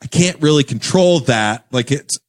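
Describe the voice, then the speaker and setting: A man's voice: a little high, expressive, normal speed. A young man telling a long story in a casual chat as a podcast guest, rambling with lots of fillers and laughing as he goes. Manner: rambling, filler-heavy, laughs through stories.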